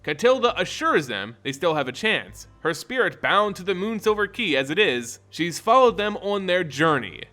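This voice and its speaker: Animated, bright-voiced male storyteller over music. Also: sits high, normal speed, few pauses. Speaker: lore video narrator and channel host. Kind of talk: dramatic scripted story narration.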